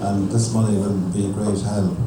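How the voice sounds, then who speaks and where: A man's voice: lowish voice, level tone, brisk. An Irish man giving an informal thank-you speech at a function in a hotel hall. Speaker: event speaker. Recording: echoey noisy hall, distant camcorder.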